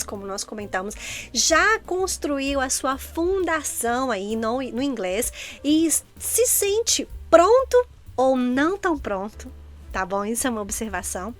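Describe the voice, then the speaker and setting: A woman's voice: high voice, a bit bright. A middle-aged Brazilian woman co-hosting in casual chat, speaking Brazilian Portuguese and talking straight to viewers. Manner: chatty, addresses viewers directly. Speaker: co-host.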